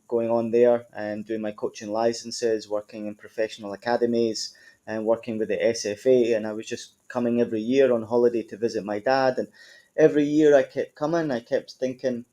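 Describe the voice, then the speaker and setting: Scottish club manager, thirties, mellow tone, chatty interview answers. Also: male voice, mid-range voice, steady tone, moderate pace. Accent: Scottish, Edinburgh. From Scotland. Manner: conversational, frequent ums and you-knows. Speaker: football club general manager. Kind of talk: podcast interview.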